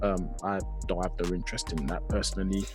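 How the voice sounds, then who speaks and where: A man's voice: deep voice, fairly even intonation, fast talker, faint music behind. A man with a London accent in casual chat, slangy and given to emphatic repetition. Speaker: podcast panel member.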